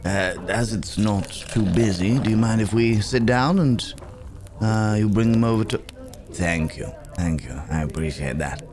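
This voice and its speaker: A man playing a weary, courteous werewolf traveler in an intimate fantasy ASMR roleplay, speaking in a halting, musing way with soft hums. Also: lowish voice, crowd noise, close to an everyday voice. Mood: courteous, reassuring.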